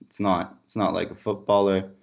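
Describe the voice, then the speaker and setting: Deep-voiced Slovak man, halting and conversational. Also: level tone, creaky. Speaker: former professional road cyclist turned mountain bike racer, interviewee. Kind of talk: casual interview chat. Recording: dull lo-fi audio.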